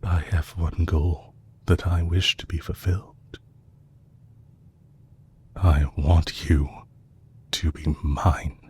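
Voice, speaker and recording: A man playing a composed, courteous villain leader who is possessive and lovestruck, speaking formally and deliberately in an intimate romantic audio roleplay. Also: sits low, fairly even intonation, darkish tone, a little hiss or hum. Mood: earnest, possessive. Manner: formal, deliberate, courtly phrasing with long pauses.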